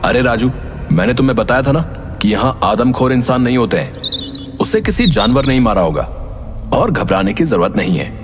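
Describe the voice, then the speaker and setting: A man's voice: mid-range voice, sing-song, moderate pace. A man giving dramatic voice to village characters and a teacher in a horror cartoon. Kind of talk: cartoon dialogue. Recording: over loud music.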